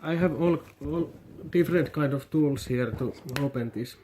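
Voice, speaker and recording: A Finnish man vlogging a toy unboxing in halting non-native English, hesitant and repeating his words. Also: a little high, dark tone, a little hiss or hum. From Finland. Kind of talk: casual unboxing commentary.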